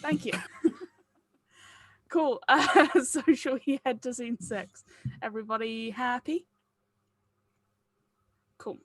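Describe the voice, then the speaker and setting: A woman's voice: fairly high pitch, takes it slowly, pauses often, dry recording. A woman chatting casually and playfully as she runs a group script read, with a jokingly argumentative manner.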